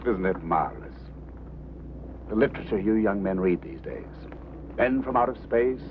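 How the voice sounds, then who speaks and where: A man's voice: fairly low pitch, big pitch swings, normal speed. An American actor playing a skeptical, down-to-earth older man with a dry manner in a vintage film drama. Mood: sardonic. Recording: tinny, noisy old film soundtrack.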